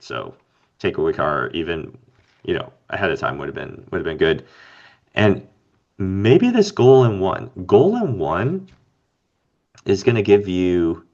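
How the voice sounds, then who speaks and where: A man co-hosting a casual fantasy game podcast, slightly raspy from being under the weather, rambling and thinking aloud with lots of fillers. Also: deep voice, lo-fi sound. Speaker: podcast co-host.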